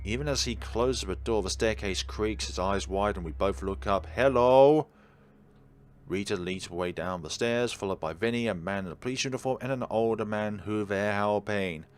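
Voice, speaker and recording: A man's voice: fairly low pitch, normal speed. A man reading a visual novel aloud as a let's player, dropping in wry, casual commentary. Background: game music under the voice.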